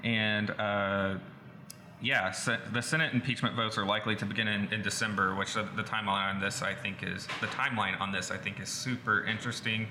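A man co-hosting a podcast, giving a casual news rundown with a hesitant, halting delivery. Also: lowish voice, steady tone. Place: indoor studio under renovation. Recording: echoey room, faint background noise.

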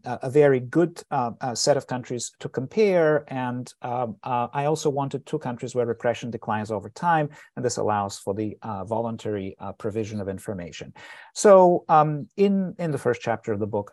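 A middle-aged man with a Bulgarian accent giving scholarly interview answers in a deliberate, halting, explanatory way. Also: medium pitch, a little mellow, no echo, studio quality. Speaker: author and scholar being interviewed.

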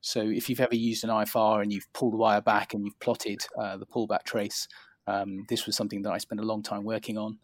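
A man's voice: fairly low pitch, little pitch movement, fairly quick, dry recording. A middle-aged man with a southern English accent, telling his career story in an interview in a polite, modest, hesitant way. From England, near London. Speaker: interview guest, cardiologist.

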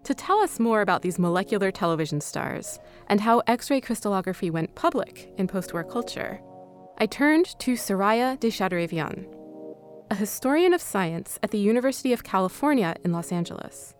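A woman's voice: medium pitch, animated, moderate pace, soft background music. A woman hosting and narrating a scripted audio documentary. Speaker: podcast host and narrator.

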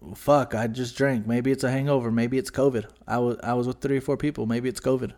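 A man's voice: mid-range voice, steady tone, fast talker, darkish tone. A man talking loosely on a podcast, stuffy and a little scratchy in the throat. He circles back on himself, restarts sentences and drops the odd curse word. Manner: rambling, restarts often, swears casually. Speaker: podcast co-host. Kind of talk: casual podcast chat.

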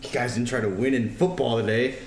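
A man's voice: medium pitch, brisk, a little hiss or hum. A young man teasing his friends in casual banter. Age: young adult. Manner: teasing, lively reactions. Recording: echoey room with loud crowd.

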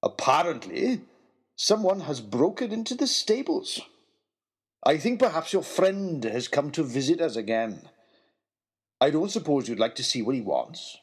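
A man voicing a playful, polite old gentleman, mild and unworried, in an audiobook. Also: fairly high pitch, big pitch swings, a little slow, husky, full character voice. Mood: mildly amused. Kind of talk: audiobook character voice.

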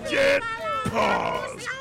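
An actor playing a defiant, bombastic film-star hero in a radio play, shouting in a melodramatic, drawn-out way. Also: male voice. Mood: defiant fury. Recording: loud music and rioting crowd behind.

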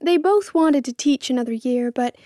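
A gentle, genteel mother, played by a woman narrator in a storybook. Her voice is high and mellow, earnest and fond, recorded dry. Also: moderate pace, no echo, lightly acted. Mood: hopeful.

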